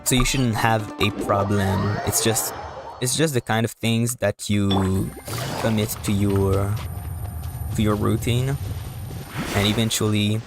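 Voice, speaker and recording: A man with a low, gravelly voice streams a game in a casual way. He talks very slowly and haltingly, rambling through his explanations as he plays. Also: fairly even intonation. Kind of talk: casual live stream commentary while playing. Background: music.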